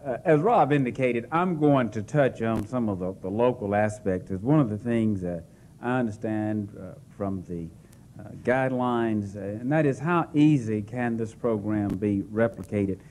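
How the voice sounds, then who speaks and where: A man speaks to a panel in a loose, semi-formal way, pausing often on "uh" and slipping in jokes. His voice sits at an ordinary male pitch. Faint noise sits underneath. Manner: relaxed and jokey, frequent "uh" hesitations. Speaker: chief public defender presenting to a panel. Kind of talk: semi-formal presentation with asides. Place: hall at a press club.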